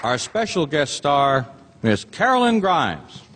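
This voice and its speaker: A man's voice: fairly high pitch, sing-song, takes it slowly, a crowd in the background. A man introducing the cast on a theater stage before a live audience, chatty and joking. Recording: long hall reverb, loud audience and noise. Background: audience noise and laughter.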